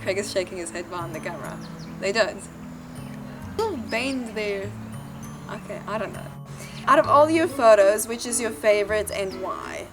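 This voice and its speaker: A woman's voice: mid-range voice, animated, moderate pace, pauses often, over music. A young woman from Sweden, reading questions aloud and answering them casually, often laughing and thinking aloud when unsure. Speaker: interview guest.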